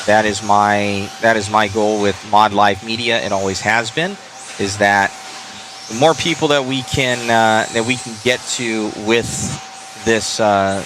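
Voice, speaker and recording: A man with a lowish voice talks casually and a little wearily as he closes out a long livestream. He rambles in a friendly way. The noise of a busy indoor race track hangs clearly behind him. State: tired. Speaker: livestream host. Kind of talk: casual end-of-event chat.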